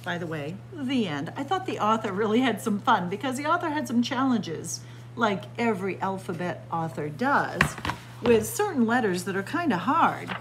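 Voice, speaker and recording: A woman's voice: medium pitch, sing-song, normal speed, noisy background. A middle-aged woman librarian reading a picture book aloud to children, word by word, with teacherly emphasis, recorded close on a webcam in a small library room. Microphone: webcam or phone close up at arm's length.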